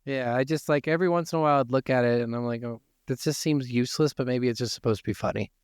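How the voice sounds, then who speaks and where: A man's voice: medium pitch, fast talker, clear, resonant tone, no echo, studio quality. A man co-hosting a gaming podcast, giving blunt opinions in casual, informal speech. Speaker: video game podcast co-host.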